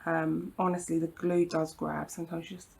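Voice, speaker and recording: A woman with a lower voice, croaky from hay fever and gravelly at the edges, talks through a glue-down craft step at her home craft table. She sounds husky and a little rough, chatty and reassuring with plenty of ums and apologies. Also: little pitch movement, moderate pace, darkish tone. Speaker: craft tutorial host. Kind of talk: casual hands-on craft demonstration.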